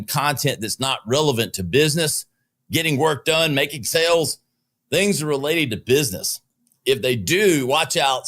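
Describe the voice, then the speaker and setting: A man's voice: medium pitch, a bit bright. A man with a Southern US accent, hosting a business podcast and giving opinionated news commentary with joking asides from a studio. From Southern United States. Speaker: podcast host.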